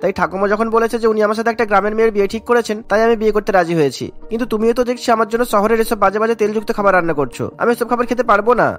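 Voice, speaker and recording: A man's voice: high voice, racing, few pauses, natural voice. A man voicing a frank, disapproving young city suitor in a cartoon story. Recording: music behind the voice.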